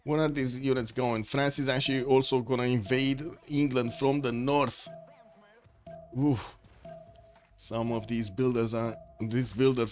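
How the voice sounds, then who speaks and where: A man's voice: mid-range voice, level tone, a little slow, darkish tone, soft background music. A man hosting a livestream, giving rambling live commentary on a strategy game match and greeting chat viewers. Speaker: stream host and caster.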